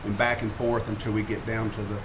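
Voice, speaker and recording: A man's voice: on the low side, level tone, a little fast. A man chairing a council meeting, speaking in formal procedural language. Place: indoor public council meeting. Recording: noisy council chamber microphone.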